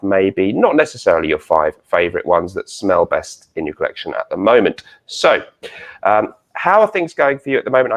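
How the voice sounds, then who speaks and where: Low-voiced, polite man hosting. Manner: hesitant, polite, complimentary. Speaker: host of a fragrance interview show. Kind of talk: chatty online interview.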